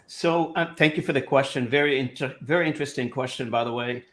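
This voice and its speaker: A man from Lebanon in his fifties, a guest telling his life story in a relaxed interview over a video call, rambling with lots of fillers and restarts. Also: medium pitch, quick pace.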